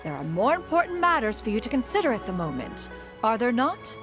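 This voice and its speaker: An actress playing a dignified, composed and resolute auntie in a video game, with formal, stately phrasing. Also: female voice, a little high, big pitch swings, a little fast, music in the background.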